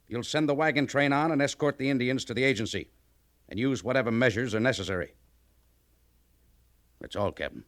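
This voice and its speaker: A by-the-book cavalry commander acted by a man in an American radio western. He is rigid and distrustful, speaking quickly with clipped authority. The voice is mid-pitched and recorded dry. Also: a bit bright, no room sound, lightly acted. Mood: stern, commanding. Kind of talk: 1950s western radio drama acting.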